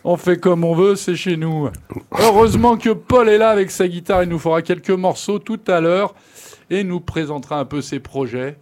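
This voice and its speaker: Man, high voice; loud, joking radio co-host. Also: normal speed. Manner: jokey, loud, laughs often. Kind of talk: casual radio chat. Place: small local radio studio. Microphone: studio microphone.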